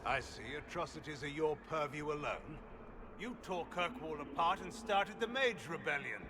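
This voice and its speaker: An actor playing a stern, blunt companion who answers in clipped lines. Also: male voice, a little high, animated, normal speed, close to an everyday voice. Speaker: warden companion. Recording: game audio with ambient noise.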